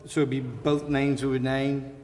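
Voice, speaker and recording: A man's voice: medium pitch, flat delivery, normal speed, a little mellow. An American man speaking informally as the mayor chairing a public council meeting. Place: large indoor council chamber. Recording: echoey council chamber with clear noise.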